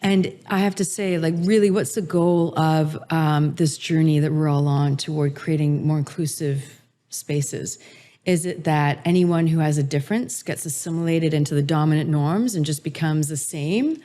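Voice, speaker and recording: A middle-aged woman speaks as an interview guest, laying out her ideas in steady, articulate sequences. Her voice sits a little low, with a warm, mellow tone. She sounds earnest and considered. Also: moderate pace. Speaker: guest author and inclusion speaker. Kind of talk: thoughtful interview answers.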